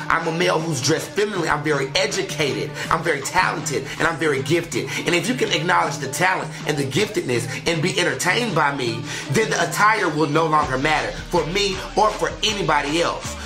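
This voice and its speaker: A man hosting a flamboyant talk radio show, sassy and theatrical, sometimes sing-song, his voice slightly raspy from illness. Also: a little high, expressive, a little fast, hardly any pauses. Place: radio studio. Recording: echoey room with music behind.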